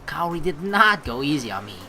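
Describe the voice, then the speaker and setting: A young man hosting a let's play, reading the story aloud and adding expressive, rambling commentary. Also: a little high, fairly quick, soft background music.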